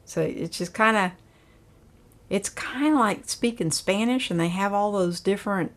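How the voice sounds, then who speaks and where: An older woman hosts a casual livestream, reading viewer comments aloud and chatting back in a folksy, meandering way. Her voice sits fairly low, and she takes her time with each thought. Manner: folksy, rambling, with frequent fillers. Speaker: livestream host.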